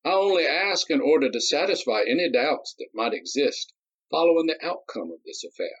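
Storybook figure: smug, polished gentleman duelist, voiced by a man, raised pitch, slightly dull recording. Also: very animated, a little fast, husky, heavily acted. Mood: mockingly polite. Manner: courtly, silky, condescending.

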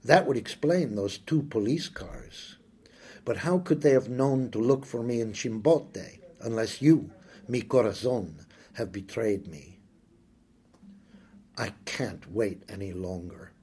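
A man reading a first-person story aloud, slow, brooding and intimate. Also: medium pitch, warm tone, slightly rough. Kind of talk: scripted first-person audiobook narration.

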